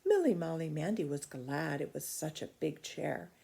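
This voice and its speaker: Woman reading kids storybook out loud, really animated, soft warm tone, bit creaky. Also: low voice, moderate pace. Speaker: children's storybook reader. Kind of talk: expressive read-aloud narration of a children's story.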